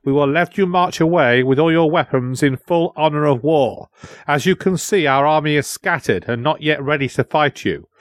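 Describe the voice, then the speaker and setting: A man's voice: fairly high pitch, normal speed, dry recording, pitched above the performer's own voice, close to an everyday voice. A man voicing a taunting young warrior who declaims a conquering king's demands in a storybook.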